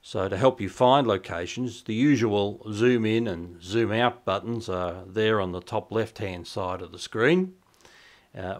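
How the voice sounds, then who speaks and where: A man in his fifties with a fairly low voice explains things step by step. He speaks in a measured, instructional way, pronouncing terms carefully like a review presenter. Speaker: presenter of a shortwave radio review. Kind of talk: explanatory tutorial to camera and over screen demo. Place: small indoor home radio room.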